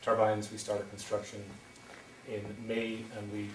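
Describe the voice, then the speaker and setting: A man's voice: on the low side, completely flat delivery, a little slow, background noise. A middle-aged man with an Irish accent from County Wexford, giving a hesitant public presentation at a town meeting, full of ums and restarts. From Ireland. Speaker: wind energy developer presenting at a town meeting. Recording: echoey hall recorded from far off.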